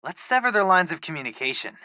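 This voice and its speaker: An eager, geeky American teenage boy who serves as a superhero's tech helper in a video game. His delivery is informal and quippy, with animated swings in pitch and a bright, forward tone. The sound is very dry, with no room around it. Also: fast talker, no room sound, exaggerated voice. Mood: casual briefing. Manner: informal, eager, nerdy tech talk with quips. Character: geeky, excitable teenage boy hacker, loyal superhero sidekick. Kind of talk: video game voice acting, casual radio-style chatter.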